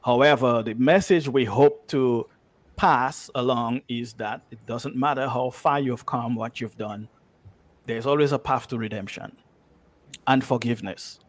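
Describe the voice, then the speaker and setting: A man's voice: medium pitch, measured pace. A man speaking as a writer and guest in a conversational interview, anecdotal and emphatic, often repeating himself.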